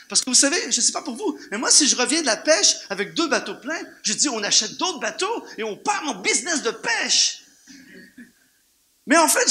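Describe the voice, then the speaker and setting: A man with a Canadian French accent preaching an animated sermon to a church congregation, firing off rhetorical questions and repeating words for emphasis. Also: extremely high pitch. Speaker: guest preacher. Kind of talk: lively sermon.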